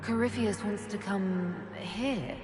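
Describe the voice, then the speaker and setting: A woman's voice: medium pitch, slow pace, lightly acted. A woman voicing a young elven mage leader in a video game cutscene, questioning and curious. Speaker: player character. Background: game soundtrack music.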